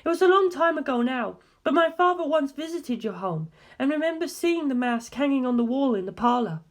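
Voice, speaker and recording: A woman voicing an intent, earnest young woman who explains dark legends deliberately and emphatically in an audiobook. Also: high voice, sing-song. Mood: earnest, probing.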